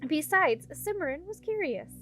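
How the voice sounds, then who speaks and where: A woman's voice: extremely high pitch, big pitch swings, a little slow, fairly bright tone, faint music behind. A woman reading scripted storybook narration as an audiobook reader.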